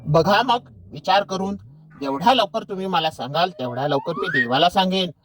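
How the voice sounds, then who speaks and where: A man's voice: high-pitched, wildly swooping pitch, moderate pace, crowd noise, rough recording. A man voicing a sly fraudulent fox who poses as a holy hermit, persuasive and pious, in a children's animal fable. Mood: pious, coaxing.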